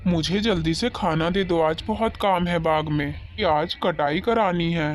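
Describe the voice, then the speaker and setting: A grown-up cartoon bird from a children's tale, voiced by a performer, speaking in plain declarative phrases at a middling pitch. Faint noise sits behind the voice. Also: a little slow, lightly acted. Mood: eager. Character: cartoon birds: pigeon farmer, crow swindler, uncle. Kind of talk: Hindi animated children's story dialogue.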